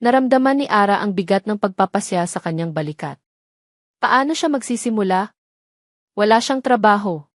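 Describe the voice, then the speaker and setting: A woman's voice: medium pitch, expressive, normal speed, no room sound, studio quality. A woman reading a scripted, dramatic love story as an audio narrator.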